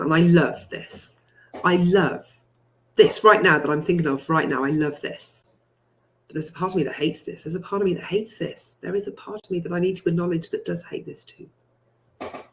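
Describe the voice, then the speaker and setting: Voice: medium pitch, rough recording. Guided meditation leader with emphatic, repetitive phrasing. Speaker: guided healing session leader. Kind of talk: guided meditation with repeated first-person affirmations.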